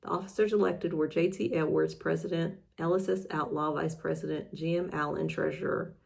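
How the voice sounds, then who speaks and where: A woman's voice: sits low, steady tone, brisk, gravelly, a little mellow. A woman hosting in a casual, chatty way, mixing reading aloud with informal, self-deprecating asides. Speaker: host reading old newspaper articles.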